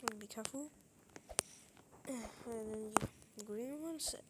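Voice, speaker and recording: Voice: mid-range voice, unhurried, very noisy. A gamer from Malaysia, giving casual, rambling, playful game commentary. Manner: rambling, playful, repeats words. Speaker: gaming video host.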